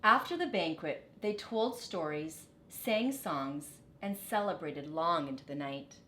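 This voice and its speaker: Animated woman reading a storybook in an echoey room. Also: unhurried. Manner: animated, dramatic phrasing for children. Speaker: storybook reader. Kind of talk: expressive children's story read-aloud. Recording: echoey room, faint low rumble.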